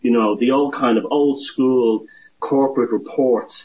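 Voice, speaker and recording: A man's voice: medium pitch, steady tone, unhurried. A man from Ireland with an Irish accent, a guest on an interview show, telling his story in a rambling way with many restarts. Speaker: guest author. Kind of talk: casual interview talk. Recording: dull lo-fi phone-style line.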